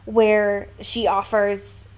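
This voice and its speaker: A woman's voice: medium pitch, monotone, drawn-out words. A woman co-hosting a podcast, discussing a book casually and analytically with emphatic, lightly profane phrasing. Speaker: podcast co-host.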